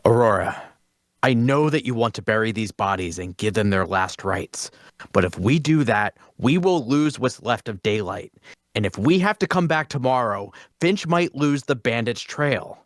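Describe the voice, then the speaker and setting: A man playing a calm, reasonable leader of adventurers, placating and measured, in a fantasy drama table read. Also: medium pitch, no room sound, clean studio sound, natural voice. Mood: firm.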